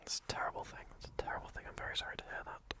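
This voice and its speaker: A man whispering playfully to viewers on an ASMR livestream into a fluffy microphone, repeating greetings and joking around. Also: racing.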